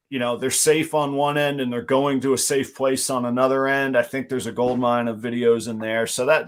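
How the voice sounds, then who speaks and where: Rambling reflective man in his forties. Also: medium pitch. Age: 40s. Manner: rambling, self-deprecating, reflective. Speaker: guest breeder. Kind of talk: casual livestream chat.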